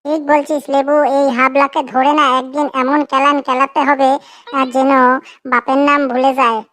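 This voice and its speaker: Cheeky young cartoon pupil voiced by a woman, high pitch, fairly even melody. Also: measured pace. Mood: conspiratorial. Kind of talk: exaggerated comic cartoon dialogue.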